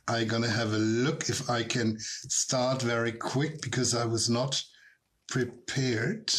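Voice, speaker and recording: A man's voice: medium pitch, a bit bright. A middle-aged man with a German accent chats loosely in a casual online Q&A, his voice sitting in an ordinary mid range. He takes his time, drawing out words and circling back with ums and restarts. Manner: chatty, rambling, frequent ums and restarts. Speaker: drag performer and tour guide.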